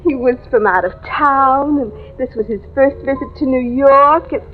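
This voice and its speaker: An actress playing a slangy, gossipy, easily frightened young waitress in an old radio drama. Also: female voice, high-pitched, moderate pace, natural voice. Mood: chatty, gossipy. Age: young adult. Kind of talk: 1940s-50s radio drama acting. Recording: tinny vintage radio, music behind.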